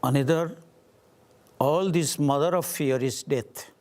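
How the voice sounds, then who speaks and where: A man with an Indian accent, a spiritual teacher answering questions at a gathering in slow, deliberate phrases with long pauses. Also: on the high side, background noise. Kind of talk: satsang talk answering questions.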